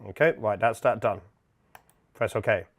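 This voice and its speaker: A man's voice: fairly low pitch, measured pace. A man giving an informal, step-by-step tutorial, explaining methodically as an instructor. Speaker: instructor, product demonstrator. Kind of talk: software setup tutorial, step-by-step walkthrough.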